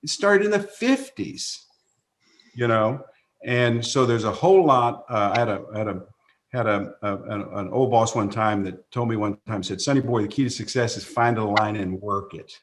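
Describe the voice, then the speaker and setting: A man's voice: fairly low pitch, normal speed, a little hiss or hum. A man speaking as a panelist on a video call, folksy and rambling, with many restarts.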